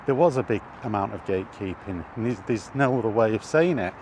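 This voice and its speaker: Low-voiced British man outdoors, traffic noise. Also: animated, fairly quick, a little mellow. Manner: hesitant, thinking aloud. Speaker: vlogger. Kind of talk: rambling casual commentary. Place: outdoors while walking in an urban area. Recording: noisy outdoor recording with traffic. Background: clear street and vehicle noise.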